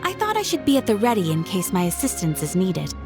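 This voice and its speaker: A woman voicing a courteous, dutiful guild receptionist in a video game, formal and measured. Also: medium pitch, wildly swooping pitch, over music. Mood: helpful.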